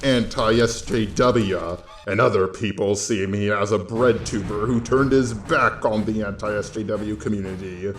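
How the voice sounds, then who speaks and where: A man's voice: mid-range voice, darkish tone. An American man from California delivering a scripted opinion monologue in a blunt, sweary, sardonic way as a YouTube host. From California, United States. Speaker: YouTube host with a robot avatar. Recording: roomy echo, faint music bed.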